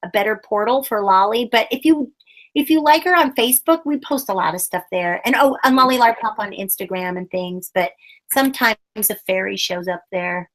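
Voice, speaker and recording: A woman's voice: medium pitch, fairly quick, poor audio. A woman puppeteer talking in a casual interview, enthusiastic and rambling. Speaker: puppeteer guest.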